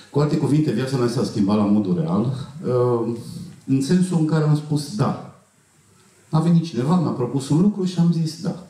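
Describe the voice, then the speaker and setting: A middle-aged man speaks from a stage with a dark, mellow voice. He tells stories haltingly and clears his throat. The sound is very echoey and distant. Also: medium pitch. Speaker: film actor at festival Q&A. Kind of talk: anecdotal speech to an audience. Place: festival auditorium stage. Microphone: handheld mic, heard from far camera. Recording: echoey hall, distant camera pickup.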